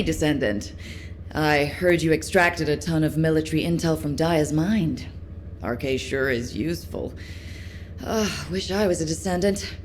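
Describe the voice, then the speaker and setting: An actress playing a chatty, eager young military engineer in a game, informal, rambling and expressive. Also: female voice, sits low, slight background noise. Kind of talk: game character dialogue.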